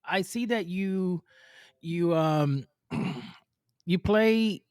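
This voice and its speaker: Upbeat guy hosting a podcast, kinda high voice, talks it up big, dry sound. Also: drawn-out words, a little mellow, smooth, no echo. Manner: hyped, playfully exaggerated, repeats himself. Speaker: podcast host. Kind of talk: casual interview chat.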